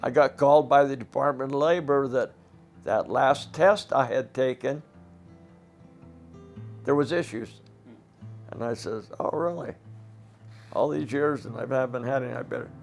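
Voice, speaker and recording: Raspy old guy, ex coal miner, blunt and fired up, faint guitar behind. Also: medium pitch, normal speed, long pauses. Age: older. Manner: plain-spoken, indignant, rhetorical questions. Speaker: former coal miner interviewee. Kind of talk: documentary interview.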